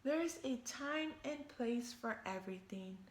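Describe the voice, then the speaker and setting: Woman playing a storybook fish teacher, high voice, patient, measured, gently scolding, echoey room. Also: lively intonation. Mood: gently firm. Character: patient female fish schoolteacher, gently correcting.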